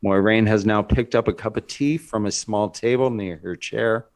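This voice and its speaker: A man's voice: fairly low pitch, normal speed. A man narrating stage directions as scripted narration in a screenplay table read.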